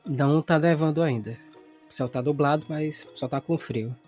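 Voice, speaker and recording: A man's voice: on the high side, moderate pace, darkish tone, soft background music. A man acting as game master, describing scenes in a casual, conversational, explanatory way.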